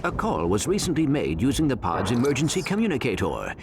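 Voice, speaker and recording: A man voicing a small, earnest, brainy robot sidekick with a precise, formal, measured manner in a video game cutscene. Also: mid-range voice, wildly swooping pitch. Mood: matter-of-fact. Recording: clearly noisy game audio with ambience.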